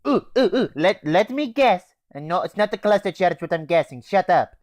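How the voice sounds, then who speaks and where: A man's voice: sits high, expressive, moderate pace, dry recording. A teenage boy voicing a Russian male soldier obsessed with explosive charges, teasing and calling everyone comrade. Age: teens. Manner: teasing, calls listener comrade.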